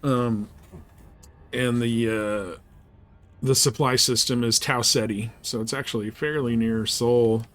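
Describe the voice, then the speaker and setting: A man's voice: medium pitch, steady tone, measured pace. A man giving casual live game commentary, rambling and thinking aloud as he plays. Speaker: game streamer.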